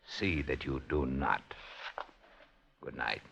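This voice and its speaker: Gravelly, menacing party boss. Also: male voice, very deep voice, slow pace, heavily acted. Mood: threatening. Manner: formal, sardonic, commanding. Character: menacing, domineering male communist party boss. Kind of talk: 1950s radio drama acting.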